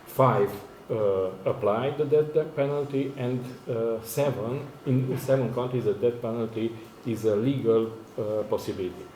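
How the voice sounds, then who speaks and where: A male academic speaks slowly in a mellow voice with a Romanian accent, presenting formally in a second language. His delivery is very halting, with frequent searching for words. The room is noticeably echoey. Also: medium pitch. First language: not English, which is a fourth working language. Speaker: academic conference speaker, university lecturer. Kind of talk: formal conference presentation in non-native English. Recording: echoey room, faint background noise.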